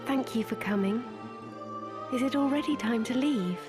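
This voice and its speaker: An actress playing a gentle, dutiful young princess in a video game, speaking formally and tenderly with a breathy voice. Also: female voice, fairly high pitch, moderate pace, close to an everyday voice. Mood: tender, grateful. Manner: formal, soft-spoken, tender. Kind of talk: scripted video game voice acting. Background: game music.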